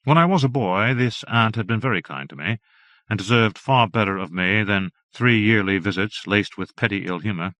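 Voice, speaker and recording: A man narrating a period horror story in a measured, formal manner. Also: on the low side, bright, forceful tone, no room sound. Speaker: audiobook narrator.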